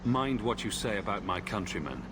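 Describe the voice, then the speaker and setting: Man playing a sardonic, lively adventurer hero. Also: lowish voice, normal speed, noisy background, close to an everyday voice. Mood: wry, probing. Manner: dry, sarcastic. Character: sardonic, wisecracking young male adventurer hero. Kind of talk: video game dialogue.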